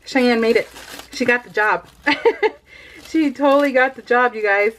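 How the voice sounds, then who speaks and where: A woman's voice: on the high side, measured pace, a bit bright, low-quality recording. A woman in her thirties chatting casually while doing a scrapbooking project, rambling and laughing often. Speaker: scrapbooking vlogger. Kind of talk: casual voiced-over craft chat.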